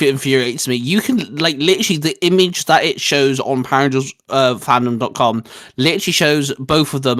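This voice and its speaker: A man's voice: mid-range voice, fairly bright tone, dry recording. Man chatting casually, dry close sound. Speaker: host. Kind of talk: casual review chat.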